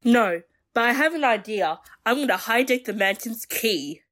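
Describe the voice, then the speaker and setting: A woman playing a confident, decisive gadget-wielding adventurer in an audio drama, with a husky voice and cool manner. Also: a little high, expressive, normal speed, fairly bright tone.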